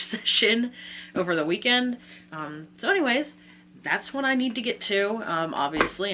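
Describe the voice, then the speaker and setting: A woman's voice: sits low, pushed and bright, a little hiss or hum. A woman talking casually in an informal, self-deprecating way, like a book vlogger running through a reading list.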